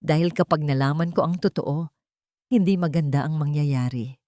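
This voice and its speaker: This is a woman playing a secretive husband in a story drama, short-tempered and defensive. The tone is dark and mellow, recorded very dry. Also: low voice, normal speed, no echo, natural voice. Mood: irritated, threatening.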